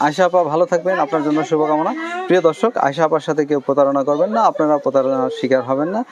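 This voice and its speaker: A man's voice: on the high side, fairly even intonation, racing, crowd noise. A man with a Bangladeshi accent works as an interviewer and presenter, speaking informally and directly. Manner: probing, short repeated questions.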